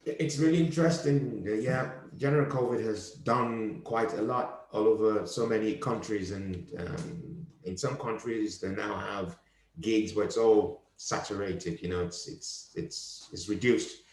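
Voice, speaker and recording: A man's voice: on the low side, a little slow, gravelly, a little mellow, roomy sound, a little hiss or hum, rough recording. A man hosting a podcast, asking hesitant questions in casual chat. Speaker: podcast host.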